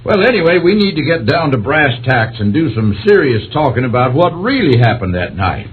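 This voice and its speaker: A man playing a jovial, folksy American radio host with a husky voice, in radio drama style. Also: mid-range voice, very animated, brisk. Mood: chummy. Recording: thin phone-like radio sound.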